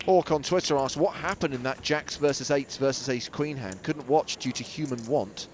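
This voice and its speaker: An Englishman hosting a live poker webcast, his voice sitting at a middle pitch. He reads out viewer questions and introduces guests with dry, wry humor. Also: normal speed, a little hiss or hum. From England. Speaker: webcast host.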